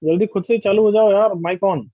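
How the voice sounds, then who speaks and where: A high-pitched man teaches an online class in a brisk, drilling way, firing questions at his students. The sound is dull and lo-fi, like a video call, and very dry. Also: no room sound.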